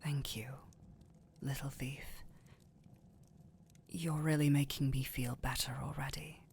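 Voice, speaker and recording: A man acting a gentle, weary, protective village doctor in an intimate fantasy roleplay, soft-spoken and hesitant, often breathy. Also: mid-range voice, level tone, takes it slowly, slight background noise. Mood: tender, yielding. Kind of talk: intimate roleplay audio drama.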